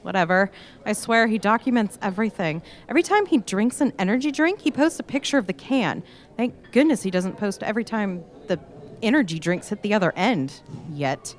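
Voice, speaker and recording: A woman's voice: medium pitch, expressive, brisk, close to an everyday voice. An actress playing a gossipy, scatterbrained young woman glued to social media in a live radio play. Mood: breezy, nosy.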